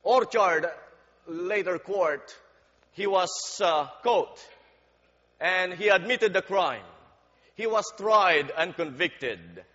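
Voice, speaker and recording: A man preaching a sermon in a church, praying and telling a story in a slow, deliberate, halting way with fillers, growing louder as the story builds. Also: high-pitched, expressive. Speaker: guest preacher. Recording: dull sound with long hall reverb.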